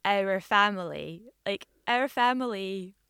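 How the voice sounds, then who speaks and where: A woman's voice: medium pitch, lively intonation, slow pace, bright, forceful tone, clean tone, no room sound, studio quality. A young woman from Scotland who interviews her mother in a casual, chatty way, with many fillers. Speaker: interviewer, daughter.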